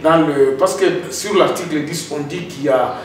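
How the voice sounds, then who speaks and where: A man hosting a political interview in a halting, wordy conversational way. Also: on the high side. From Democratic Republic of the Congo. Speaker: host. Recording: echoey, distant mic, faint noise.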